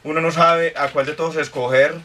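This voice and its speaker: A man's voice: on the high side, steady tone, brisk, a crowd in the background. A man from Colombia, a guest singer chatting casually in a radio studio and telling jokes. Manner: joking, tells jokes with acted voices. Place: busy radio studio, indoors. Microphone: studio mic with headphones, picked up from a distance. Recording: distant mic, noisy room.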